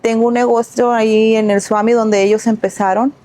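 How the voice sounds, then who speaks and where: A woman's voice: on the high side, level tone, moderate pace, mellow. A middle-aged woman from Sinaloa, reflectively telling her life story as a guest in an interview, with small restarts. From Sinaloa, Mexico. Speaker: guest, entrepreneur.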